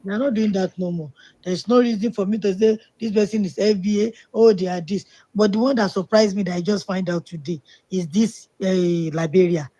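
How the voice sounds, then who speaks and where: A woman's voice: mid-range voice, fairly even intonation, a little fast, mellow. A woman on a live call-in discussion, speaking indignantly and emphatically. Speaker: call-in participant.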